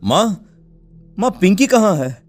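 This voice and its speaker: A man playing a high-voiced, plaintive village father. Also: very animated, slow pace, soft background music, full character voice. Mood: panicked. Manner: plaintive, emotional, melodramatic. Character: anxious, grieving young village father. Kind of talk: Hindi children's cartoon story acting.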